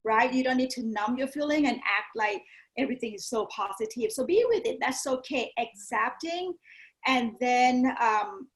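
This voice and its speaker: A woman's voice: on the high side, moderate pace, rough recording. A woman from Thailand with a Thai accent, a native Thai speaker, talking personally and motivationally as an interview guest, often checking in with "right?". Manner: often adds "right?" and "you know". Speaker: guest, yoga teacher and business coach.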